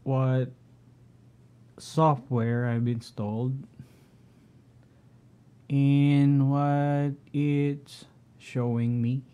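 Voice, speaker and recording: A middle-aged man with a Filipino accent, talking through his home network setup in a halting way, often clearing his throat. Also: mid-range voice, level tone, dark tone. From Philippines. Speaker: tech vlogger. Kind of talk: casual screen-share walkthrough.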